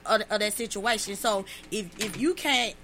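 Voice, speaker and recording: A woman's voice: medium pitch, normal speed, pushed and bright, slight background noise. A woman, speaking bluntly and emphatically as a panelist in a heated discussion. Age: 50s. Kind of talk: heated round-table discussion.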